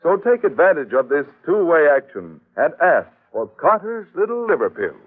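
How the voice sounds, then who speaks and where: A man's voice: on the high side, very animated, moderate pace. A tinny, narrow-band old broadcast carries an American male radio announcer. He reads scripted copy in a formal, emphatic and polished 1940s manner.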